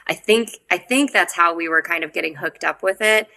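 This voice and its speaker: A woman's voice: lowish voice, fairly quick, gravelly, bright, forceful tone. A young adult woman singer with a Wisconsin accent, chatting casually as a podcast guest, laughing often and acting out quotes.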